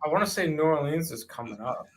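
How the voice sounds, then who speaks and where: A man's voice: on the high side, expressive, fast, low-quality recording. A man chatting casually about sports as a show panelist.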